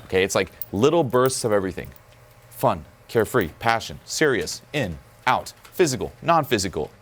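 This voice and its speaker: A man coaching about dating in casual, profane talk, acting out quick bits of dialogue. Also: on the low side, sing-song.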